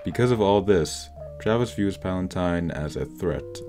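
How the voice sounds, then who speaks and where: Really low guy voice doing a scripted movie breakdown, instrumental music playing behind. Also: animated, a little slow. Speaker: video essay narrator. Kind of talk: scripted film analysis narration. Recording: voice-over with background music. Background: instrumental music with plucked guitar.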